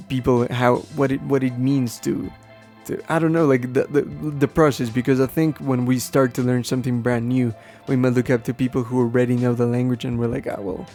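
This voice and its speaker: A man whose first language is Spanish, explaining things casually and haltingly as a podcast host, thinking aloud as he goes. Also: mid-range voice, warm tone. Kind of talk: casual unscripted explaining to listeners.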